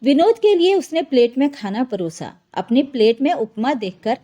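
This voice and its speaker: A woman's voice: sits high, sing-song, moderate pace, dark tone. A woman narrating a scripted family drama story as a storyteller.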